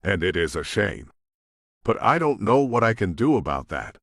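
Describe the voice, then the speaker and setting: A man's voice: lowish voice, big pitch swings, normal speed, a bit bright, no room sound, clean studio sound. A man reading a romance novel as scripted audiobook narration, with acted dialogue.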